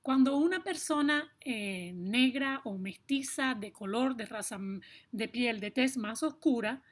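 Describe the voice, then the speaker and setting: A woman with a Cuban accent explaining things to viewers in a personal, emphatic way, stressing points with deliberate repetition. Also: a little high. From Cuba. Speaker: video blogger.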